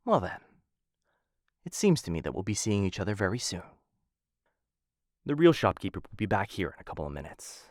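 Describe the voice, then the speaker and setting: A man performing an ASMR roleplay as a suave, smitten assassin of a noble house, speaking in formal, courtly phrases with hesitant softer asides into a close mic. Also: lowish voice, sing-song, a little fast, pauses often, dry recording. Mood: gently protective. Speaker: voice actor in a boyfriend roleplay audio. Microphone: close studio-style mic.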